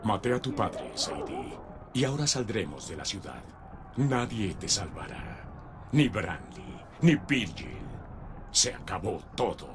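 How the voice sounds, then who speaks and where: An actor playing a ruthless, smug official in a Latin American Spanish audio drama, menacing and condescending. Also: male voice, fairly low pitch, sing-song, a little slow, noisy background. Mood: cold, menacing. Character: ruthless, smug, murderous male official fleeing a doomed city. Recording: voice over loud background ambience.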